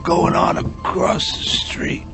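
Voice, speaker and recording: An actor in a radio drama playing a laid-back, shifty squeegee hustler with a casual, slangy way of talking. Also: male voice, sits high, monotone, slow pace, gravelly, over music, exaggerated voice. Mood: casual, evasive.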